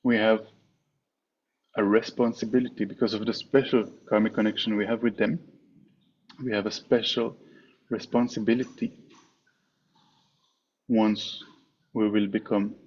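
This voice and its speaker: A man teaching a guided meditation in a measured way, pausing often between short phrases. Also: on the low side. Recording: dull, muffled online call with clear background noise.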